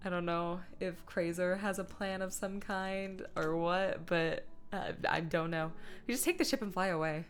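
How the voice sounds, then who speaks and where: A woman's voice: on the low side, fairly even intonation, moderate pace. A woman streamer chatting casually while she works at a computer, talking through small tasks in short asides. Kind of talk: casual livestream chat while editing images.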